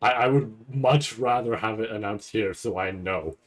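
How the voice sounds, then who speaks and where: Guy streamer, kinda low voice, rambly casual commentary, keeps restarting his sentences. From Canada. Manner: halting, thinks aloud with repeated restarts. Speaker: streamer reacting to a live anime event.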